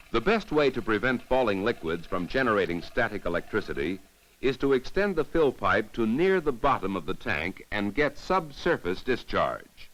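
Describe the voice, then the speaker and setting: Deep-voiced man narrating a 1950s instructional film. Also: a bit bright, poor audio. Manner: didactic, measured, formal delivery. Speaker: narrator and demonstrator of an educational safety film.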